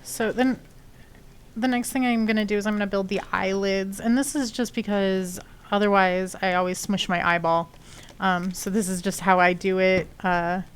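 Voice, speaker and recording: A woman's voice: mid-range voice, steady tone, moderate pace, clear, resonant tone. A woman with a sniffly nose chatting casually and rambling with many fillers while explaining her sculpting on a live stream. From not from Texas. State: sniffly from allergies. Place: home studio, lives in Texas.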